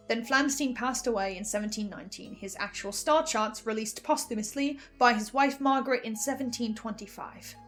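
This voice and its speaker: Excitable lady doing theory commentary, high voice, cuts herself off, music behind her. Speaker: podcast listener giving reaction commentary.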